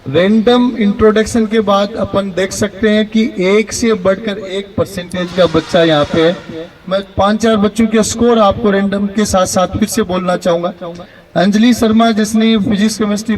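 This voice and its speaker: Male college host on handheld mic; brisk, high voice, long hall reverb. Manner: brisk, repeats short praise. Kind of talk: addressing a hall of students. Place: large hall.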